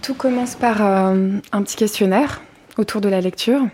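A woman interviewing a guest at home, asking questions in a soft, measured way with small fillers. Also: mid-range voice, a little hiss or hum. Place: apartment living room, seated on a sofa.

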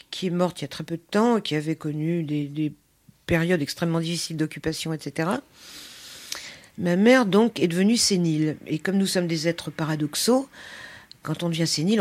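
An older woman, telling personal stories as an interview guest in an expressive, emphatic way. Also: on the low side. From Paris, France. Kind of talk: conversational personal storytelling.

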